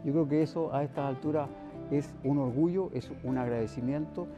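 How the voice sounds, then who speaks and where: A man's voice: fairly high pitch, fairly quick, highs cut off, over music. A man with a Chilean accent hosting a television interview in a conversational way.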